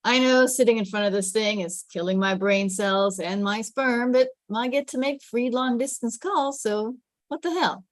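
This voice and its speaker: A woman's voice: a little high, normal speed, dry recording, natural voice. A woman voicing a sarcastic young male office worker in a table read, with dry, joking delivery. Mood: wry.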